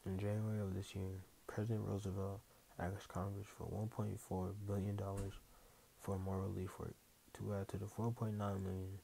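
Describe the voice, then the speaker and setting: Male newsreel narrator, low and mellow, scripted read over faint hiss. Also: rough recording. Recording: clear hiss behind the voice.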